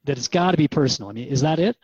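A man's voice: on the high side, expressive, very fast, a little mellow, breathy edge, dry recording, muffled recording. A man playing an earnest, searching radio host in a drama, asking questions in a thoughtful, conversational, hesitant way. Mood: thoughtful, unsure. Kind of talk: radio drama interview dialogue.

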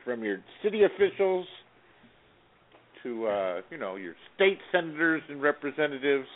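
A man's voice: on the high side, big pitch swings, moderate pace. A man talking politics on a casual talk radio show, earnest and exasperated, rambling through fillers as he goes. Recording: tinny phone-like radio audio.

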